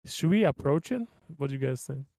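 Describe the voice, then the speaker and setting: A man's voice: medium pitch, animated, a little fast, dark tone, dry recording, poor audio. A man chatting casually as a player in a tabletop roleplaying game.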